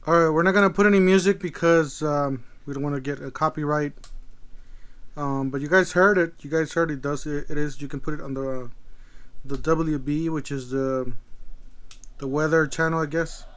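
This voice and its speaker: A man giving a casual product demo as a video host, thinking aloud in a halting, tentative way. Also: a little high, steady tone, fairly bright tone, clean tone. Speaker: video host, gadget reviewer.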